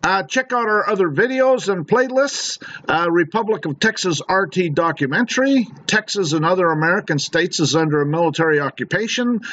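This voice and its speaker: A man with a high voice delivers an informal promotional announcement. His delivery is halting and unpolished, like an amateur channel host. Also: fairly bright tone.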